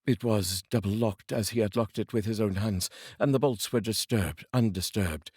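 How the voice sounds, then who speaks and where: A man narrating a classic story as a reader, in a measured, theatrical storytelling manner. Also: on the low side, a little mellow, breathy edge, no echo, clean studio sound. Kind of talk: scripted dramatic storybook narration.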